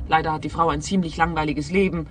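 A woman's voice: low-pitched, fairly even intonation, fast talker, slight background noise, natural voice. An actress playing an eager, naive young woman training as a private detective in a radio crime comedy, chatty and earnest. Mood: chatty, self-assured.

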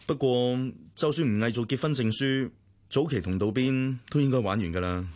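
A man's voice: medium pitch, normal speed, darkish tone, a little hiss or hum, lightly acted. A man with a Hong Kong Cantonese accent acting a protective but detached elder brother in a radio drama, admonishing and matter-of-fact.

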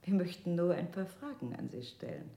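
A woman's voice: fairly low pitch, expressive, brisk, creaky, mellow, echoey room. An actress playing a female interrogator in a radio play, politely menacing, swinging between soothing and accusing. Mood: cold, polite.

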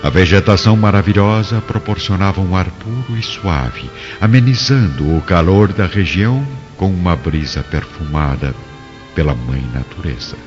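A man's voice: low voice, normal speed. A man narrating a scripted radio drama in a solemn, theatrical manner. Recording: dull, reverberant recording with music.

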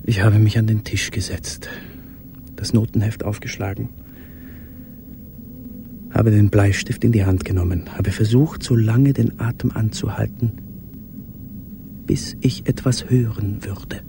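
Casting: a tormented, solitary man in literary radio drama, low gravelly voice, halting and hushed, noisy ambience behind. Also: steady tone. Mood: anguished, brooding. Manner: halting, brooding, often sinking into whispers. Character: tormented, lonely man confessing to an absent listener. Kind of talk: intimate literary radio play monologue.

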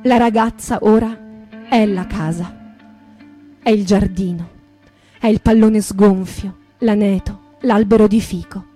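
A woman performing a scripted poetic text in a measured, incantatory stage-reading manner. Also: mid-range voice, warm tone. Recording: music behind the voice. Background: instrumental music.

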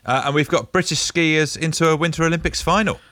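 A man's voice: fairly high pitch, brisk, pushed and bright, no room sound. A man co-hosting breakfast radio in an easy, conversational way. The studio sound is dry and close. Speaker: breakfast show co-presenter. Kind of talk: radio presenting.

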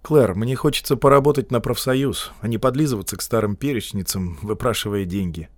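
A man's voice: fairly low pitch, a little fast, no echo, natural voice. A man voicing a guarded, blunt young man who talks in curt, terse answers.

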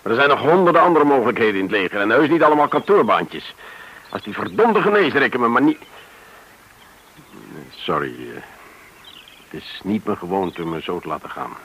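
Wry retired officer, lively intonation. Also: male voice, on the low side, normal speed, long pauses. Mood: bitter, wry. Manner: dry, probing, formally polite. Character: wry, sharp, retired army officer invalided out of service. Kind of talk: radio play acting. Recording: noisy old radio play recording.

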